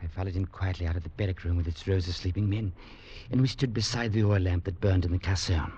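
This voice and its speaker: A man's voice: low-pitched, steady tone, fast, dark tone, slightly rough. An actor playing an earnest young legionnaire who tells his story as a grave, dramatic narrator in a 1940s radio drama. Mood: grave, tense. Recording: dull 1940s radio recording with music.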